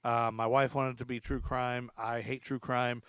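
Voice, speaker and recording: A man's voice: medium pitch, flat delivery, normal speed, a bit bright, clean tone, no echo. A man hosting a casual history podcast, chatty and rambling, laughing often. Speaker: podcast host. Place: lives in Charlotte.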